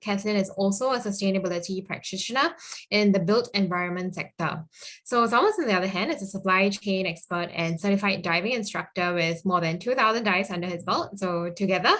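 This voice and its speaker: A woman's voice: medium pitch, fairly bright tone. A woman hosting an online talk, introducing the guests in a friendly, upbeat way with frequent fillers. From Singapore. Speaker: host, moderator. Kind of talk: event moderation.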